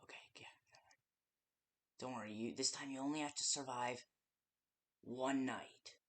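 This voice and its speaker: Someone playing a slow, halting game guide. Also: medium pitch, steady tone, close to an everyday voice. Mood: hesitant, cautioning. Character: hesitant, nervous guide talking a night-shift survivor through danger. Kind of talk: game tutorial monologue.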